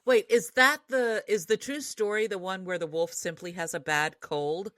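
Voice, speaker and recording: A woman's voice: medium pitch, moderate pace, fairly bright tone, no echo, clean studio sound. A woman co-hosting a casual podcast discussion about stories, chatty and easily amused as she talks. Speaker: podcast co-host.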